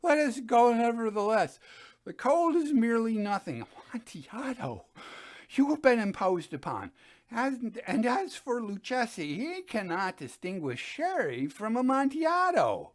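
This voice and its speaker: A man acts out a proud, tipsy Italian nobleman from a gothic storybook. The voice is high and swoops widely. He is excitable, boastful and insistent, exclaiming his words. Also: measured pace, heavily acted. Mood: dismissive, insistent. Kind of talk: dramatic storybook reading.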